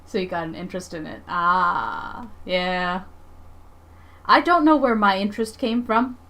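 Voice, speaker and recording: A woman's voice: mid-range voice, measured pace, sharp tone. A woman livestream host chatting casually, thinking aloud in a rambling, emphatic way. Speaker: livestream host, comic artist.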